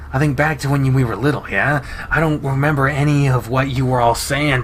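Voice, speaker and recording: A man voicing a large, blunt, crude male wolf who talks in a gruff mumble. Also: mid-range voice, a bit bright, slight background noise, close to an everyday voice.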